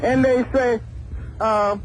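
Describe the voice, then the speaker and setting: A blunt, feisty woman chatting on a radio phone call. She talks plainly and casually, and the old broadcast recording is clearly noisy. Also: fairly high pitch, fairly even intonation, slow pace. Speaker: phone-in guest on a radio show.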